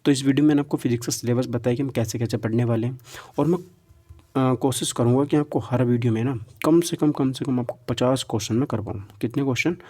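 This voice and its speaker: A man's voice: medium pitch, fast, dark tone. A man giving an informal exam-prep lecture, instructive, mixing in English terms and adding frequent check-in tags. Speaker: exam-prep physics teacher. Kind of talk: informal lecture going through a syllabus.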